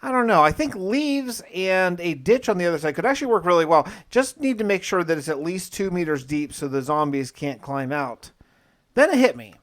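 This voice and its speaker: Male game narrator, slightly high voice, conversational in-character survival diary, thinks out loud. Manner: conversational, musing aloud with rhetorical questions. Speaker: gaming video narrator and player.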